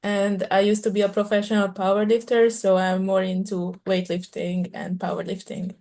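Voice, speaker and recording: Mellow woman, relaxed. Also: mid-range voice, normal speed. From Hungary.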